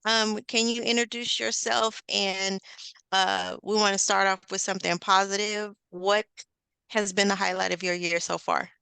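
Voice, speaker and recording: A woman's voice: mid-range voice, flat delivery, normal speed, creaky, pushed and bright, smooth, no room sound. A woman hosting an online session over a video call, upbeat and encouraging, with lots of filler words. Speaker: host and facilitator.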